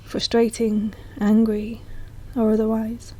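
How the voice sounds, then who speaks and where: A woman leads a guided meditation in a breathy, mellow voice. Her tone sits a little high and stays very even, with little rise or fall. She speaks softly and soothingly, with an unhurried delivery. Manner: soft, slow, soothing delivery.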